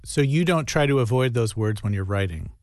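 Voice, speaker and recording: A man interviewing an author, asking questions in a gentle, sympathetic way. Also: medium pitch, expressive, a little fast, no room sound, clean studio sound.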